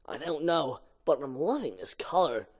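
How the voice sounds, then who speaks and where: A man voicing a cool cartoon bee who leads a squad of jocks, brisk in manner. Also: fairly high pitch, big pitch swings. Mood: casual. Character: Jackson, male pollen jock bee, cool squad leader.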